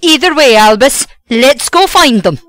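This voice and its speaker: A woman's voice: sits high, animated, a little slow, fairly bright tone. A woman playing a protective, moody witch in an audio drama, with dramatic, emphatic delivery. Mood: urgent.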